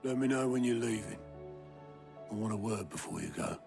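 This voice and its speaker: A man's voice: lowish voice, expressive, moderate pace, creaky, music in the background. A man playing a loyal friend and deputy in a cinematic video game, speaking in an informal, sincere way. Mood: friendly, sincere. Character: loyal, warm-hearted male friend and deputy.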